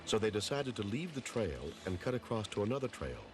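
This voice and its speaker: Very deep-voiced male documentary narrator. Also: sing-song, brisk.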